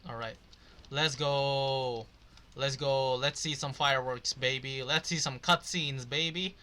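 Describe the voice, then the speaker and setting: A man's voice: medium pitch, fairly even intonation, a little slow, sharp tone. A young man giving casual, slangy gameplay commentary, muttering asides as he reacts. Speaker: gaming streamer reacting to a story quest.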